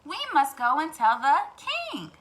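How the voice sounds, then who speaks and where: A woman's voice: high-pitched, wildly swooping pitch, unhurried, a bit bright, slight background noise, exaggerated voice. A woman voicing a panicky, insistent little hen from a children's storybook, urgent and emphatic.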